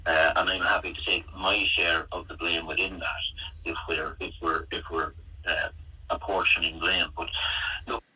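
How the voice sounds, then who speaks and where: Husky Irish man on a replayed phone call. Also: low-pitched, little pitch movement, normal speed, bright, forceful tone, far from the mic. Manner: hesitant, conciliatory. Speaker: chief executive of an association. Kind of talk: unscripted phone conversation. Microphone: phone call played back.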